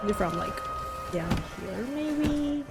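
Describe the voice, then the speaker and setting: A woman with a Mandarin accent and Mandarin as her first language, chatting casually and haltingly with her chat while streaming a game. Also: medium pitch, lively intonation. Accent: Mandarin-accented English. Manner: halting, chatty, answers chat with many restarts. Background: game music.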